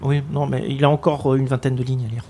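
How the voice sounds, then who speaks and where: A man's voice: medium pitch, level tone, fast talker, dark tone, slight background noise. A man co-hosting a radio show in casual chat, hesitant and full of fillers and restarts.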